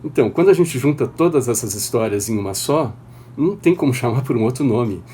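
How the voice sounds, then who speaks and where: A man directing the production, calmly explaining how it was made. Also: mid-range voice, fairly quick, slight background noise. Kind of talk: interview talk.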